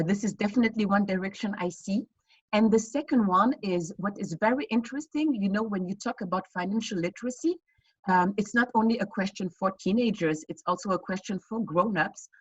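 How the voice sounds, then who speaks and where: Husky-voiced woman founder on a video call. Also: medium pitch, dry recording, highs cut off. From West Africa. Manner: earnest, explanatory, many fillers and restarts. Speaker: fintech founder. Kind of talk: online panel interview answers.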